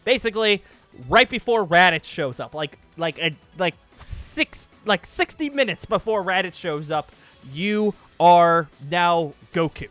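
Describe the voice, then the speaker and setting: A man with a high-pitched voice chats casually and excitedly as a YouTube gaming host. He rambles and circles back to repeat his phrases for emphasis, with music playing behind him. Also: a little slow. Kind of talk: casual excited vlog chat over gameplay.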